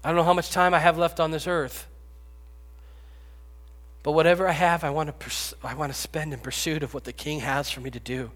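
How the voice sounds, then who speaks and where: Fifty-something guy preaching, kinda high voice, big echoey hall, gets loud then whispers. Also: fairly even intonation, fairly quick. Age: 50s. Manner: emotional, swings from loud pleading to hushed whispers. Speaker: pastor. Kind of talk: sermon. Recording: long reverb, echoey hall.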